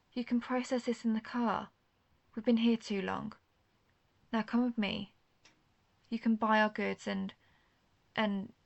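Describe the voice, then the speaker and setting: A woman's voice: a little high, gravelly, lo-fi sound. A woman playing an intense, guarded vampire hunter, herself a vampire, in a scripted roleplay audio drama, speaking earnestly and formally straight to the listener. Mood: concerned, pressing. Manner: earnest, formal phrasing, addressing the listener directly.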